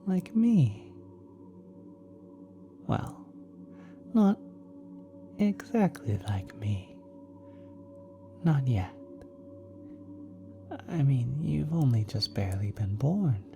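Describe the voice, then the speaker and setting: Man as an ethereal celestial being, slow, soft half-whisper, mellow, tender, doting, halting, ASMR roleplay. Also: medium pitch, full character voice. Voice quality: soft, breathy, often whispered. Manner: tender, halting, gently coddling. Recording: cosmic ambient sound effects behind.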